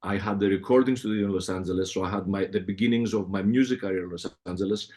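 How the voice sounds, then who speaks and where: Brief: middle-aged Greek-accented man, lowish voice, reflective and unhurried storyteller in an interview. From Greece. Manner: relaxed, reflective storytelling with frequent fillers and restarts. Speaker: music producer guest. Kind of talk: casual interview conversation.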